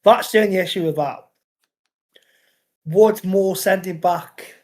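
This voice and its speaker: Two to four sentences: A young man with a Scouse-tinged Northern English accent co-hosts a football podcast. His voice sits a little high and he talks in a bouncy, excitable, chatty way, full of quick banter. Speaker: podcast co-host. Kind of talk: casual football chat.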